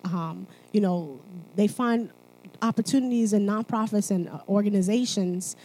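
A woman's voice: mid-range voice, moderate pace, mellow, poor audio. A woman from Haiti speaking at church, mixing sermon and personal testimony in a conversational, emphatic manner, often checking in with listeners.

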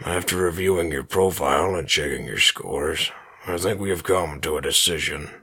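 An actor playing an authoritative space agency officer running a formal interview in an audio drama, in a husky voice. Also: male voice, rumbling low, normal speed, pushed and bright, heavily acted. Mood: formal, official.

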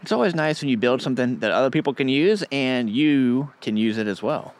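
A man's voice: medium pitch, a little fast. A man interviewing a coding school graduate, enthusiastic and encouraging. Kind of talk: upbeat interview.

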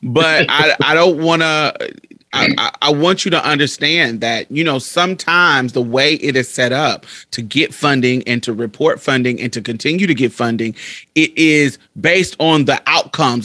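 A male host with a bright, forceful voice presses his points home, stacking phrases one after another. He sounds close and dry, with no room echo. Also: mid-range voice. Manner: emphatic, building points with repetition. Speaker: podcast host. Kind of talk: conversational interview.

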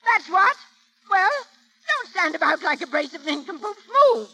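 A woman's voice: extremely high pitch, expressive, normal speed, sharp tone, full character voice. An actress playing an imperious, irascible rich old grandmother in a 1930s radio comedy, bossy and sputtering as she scolds. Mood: flustered, commanding. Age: older.

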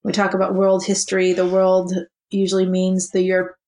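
A woman's voice: mid-range voice, completely flat delivery, a little fast, warm tone. A woman who grew up in Japan, co-hosting a casual podcast chat and talking thoughtfully with many restarts and fillers. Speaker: co-host, program co-chair.

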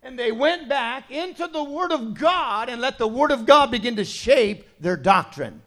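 A middle-aged man preaching a sermon in a conversational way, dropping to quiet asides and rising to loud, emphatic points. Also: very high-pitched, lively intonation, moderate pace. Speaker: pastor.

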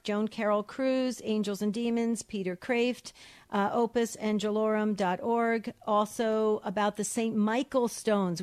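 Brisk upbeat woman radio host. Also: medium pitch, a little mellow, dry recording. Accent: New Jersey. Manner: brisk, upbeat, hurried wrap-up. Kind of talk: call-in radio talk.

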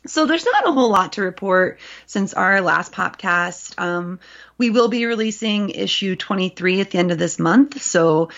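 Chatty thirties woman co-host, gravelly lower voice, dull lo-fi audio. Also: animated, moderate pace, fairly bright tone. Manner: chatty, lots of fillers and restarts. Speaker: podcast co-host and journal editor. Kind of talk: casual podcast chat.

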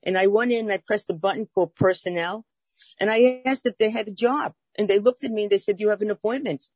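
A woman's voice: medium pitch, fast talker, husky, no echo, rough recording. Brooklyn-accented woman in her sixties, dry. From Brooklyn, New York. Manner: warm, earnest storyteller with frequent uh fillers. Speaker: guest. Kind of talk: personal storytelling in an interview.

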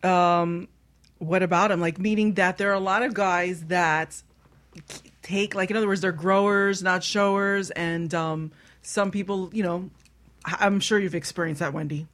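A woman hosting a casual, explicit panel chat, halting as she searches for her words. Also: fairly low pitch, fairly even intonation, fairly bright tone. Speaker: talk show host.

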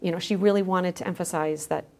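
A woman's voice: on the low side, steady tone, fast talker, a little mellow. A middle-aged woman speaking as a scholarly guest in a thoughtful podcast interview. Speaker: guest, theology scholar with drama background.

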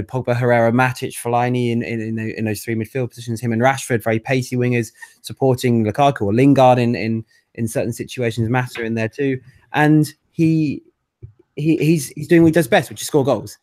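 A man's voice: medium pitch, a little mellow. A man co-hosting a casual football podcast, enthusiastic and opinionated, often stumbling over his words.

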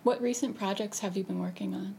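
A woman's voice: mid-range voice, fairly even intonation, brisk, creaky, mellow. A woman with a mid-range voice conducts an interview. She asks short, plain questions and lets the guest do most of the talking. Faint noise runs under the recording.

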